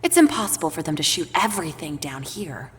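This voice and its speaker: An actress playing a weary, sardonic small-town tabloid reporter, muttering dryly in an audio drama. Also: female voice, deep voice, very animated, fairly quick, husky, heavily acted. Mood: frustrated.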